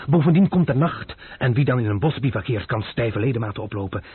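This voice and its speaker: An actor playing a bold, ironic French gentleman adventurer, theatrical, mocking and commanding, in an old radio play. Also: medium pitch, lively intonation, fast talker, husky, dry recording. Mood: gallant. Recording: noisy narrow-band old radio recording.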